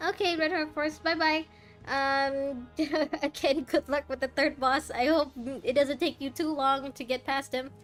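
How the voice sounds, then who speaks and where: A woman streams a game with casual running commentary. Her voice is a bit high and she rambles with hesitations. Soft music plays in the background. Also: steady tone, measured pace.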